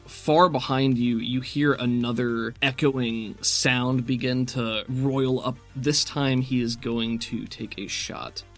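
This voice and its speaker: A man's voice: medium pitch, fairly even intonation, slow, few pauses, fairly bright tone, faint music behind. A man running a tabletop roleplaying game, moving between descriptive narration and casual rules talk. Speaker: game master.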